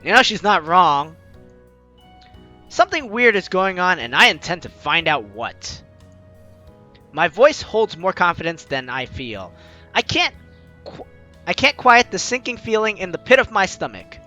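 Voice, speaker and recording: A man's voice: high-pitched, very animated, unhurried, long pauses, bright, forceful tone, soft background music. A man hosting a let's-play, reading a visual novel aloud and breaking off to laugh and comment.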